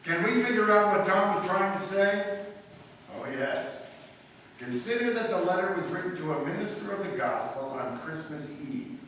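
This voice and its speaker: A man playing a clever male amateur detective in a live old-time radio drama, crisp and lecturing, building to emphatic points. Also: high-pitched, expressive, normal speed, fairly bright tone. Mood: explaining. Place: live stage performance in a hall. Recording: echoey hall from far off, faint hiss.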